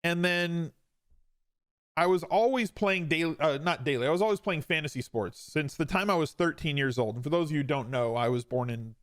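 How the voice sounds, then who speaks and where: A man's voice: on the high side, a little fast, no echo, clean studio sound. A man in his forties hosting a podcast, telling his own story in a casual, conversational way with emphatic repeats and some self-deprecation. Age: 40s. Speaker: podcast host.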